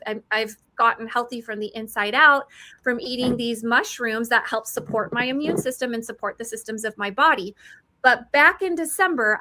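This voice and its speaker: A woman in her forties with a bright, forceful voice pitched a bit high. She talks in a chatty, eager way, like a seller walking someone through her products. Her speech is quick with detail and self-described nerdiness. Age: 40s. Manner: chatty and eager, calls herself a nerd. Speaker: mushroom supplement seller.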